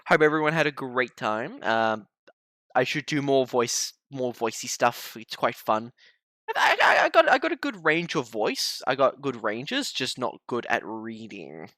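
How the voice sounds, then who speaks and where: A man's voice: mid-range voice, big pitch swings, a little slow, bright, forceful tone, no echo, clean studio sound. A young man winding down a live gaming stream, chatting casually and stumbling over his words. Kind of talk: casual end-of-stream chat.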